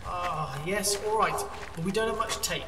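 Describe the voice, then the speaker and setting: A man's voice: sits high, normal speed. A man playing a cautious, reluctant cave explorer in an audio drama, anxious, hesitant and stammering. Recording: echoey cave-like reverb, distant, faint noise.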